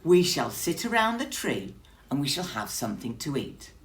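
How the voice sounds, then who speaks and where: A woman's voice: low voice, sing-song, normal speed, pitched above the performer's own voice. A woman voicing a grumpy, stern male bear in a children's storybook, declaring and scolding as it speaks. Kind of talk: children's storybook read-aloud.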